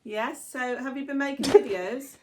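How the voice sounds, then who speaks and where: A woman's voice: sits high, normal speed, noisy background. A woman making casual conversation on a call, with an understated, teasing manner. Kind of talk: casual chat.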